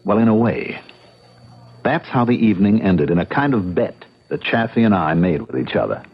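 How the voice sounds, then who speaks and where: An actor playing an earnest, stubborn young American bachelor in an old-time radio drama, explaining himself emphatically. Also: male voice, lowish voice, sing-song, normal speed, husky, full character voice. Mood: insistent. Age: young adult. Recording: muffled radio drama recording.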